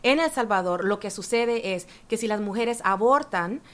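A woman guest explaining a topic earnestly, step by step, often pausing on fillers. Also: mid-range voice, lively intonation. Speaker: guest activist. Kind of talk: explanatory interview answers.

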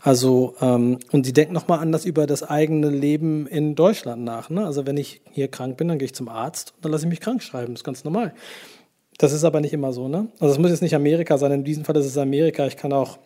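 A man's voice: fairly high pitch, fast, dark tone. A middle-aged man, a youth worker who talks loosely in an interview, with many fillers and restarts. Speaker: youth worker running hip-hop projects. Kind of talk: conversational interview answers.

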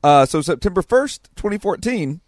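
Higher-voiced man, casual podcast host. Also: sing-song, normal speed, darkish tone, no room sound, studio quality. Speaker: podcast co-host reading listener mail. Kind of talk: casual banter, reading aloud.

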